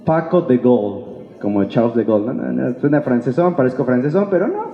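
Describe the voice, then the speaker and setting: A man's voice: medium pitch, animated, a little fast, creaky. A man with a Mexican accent preaching an informal sermon as a pastor, in a colloquial, playful way full of rhetorical questions. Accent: Mexican Spanish. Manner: colloquial, playful, acts out imagined remarks and asks rhetorical questions. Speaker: pastor preaching to a church congregation. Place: reverberant church hall. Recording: echoey hall, long reverb, dull sound.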